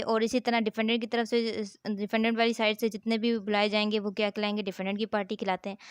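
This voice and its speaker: A woman's voice: a little high, clean tone, no echo, clean studio sound. A woman lecturing in a didactic way, explaining and reading aloud as a teacher. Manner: didactic, poses questions and answers them herself. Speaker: law lecturer.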